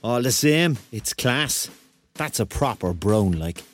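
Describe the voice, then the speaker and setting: A man's voice: mid-range voice, expressive, slow, faint music behind. An actor playing a touchy, defensive man of thirty in a radio drama, with a Cork accent and an indignant, rambling, repetitive manner. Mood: wry, casual. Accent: Cork Irish. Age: 30s. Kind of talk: radio drama monologue and dialogue.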